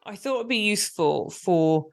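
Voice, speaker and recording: A woman's voice: medium pitch, lively intonation, moderate pace, vocal fry, no echo, faint music behind. A woman hosting a podcast and coaching presentation skills, talking in a friendly, encouraging way and prompting her guest with questions. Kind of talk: casual podcast conversation.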